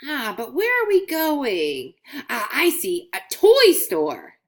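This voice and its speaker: An excitable little coin from a children's storybook, acted out by a woman. The voice is very high and swoops about in lively, bubbly exclamations. It is chatty and full of curiosity. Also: slow pace, full character voice. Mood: curious.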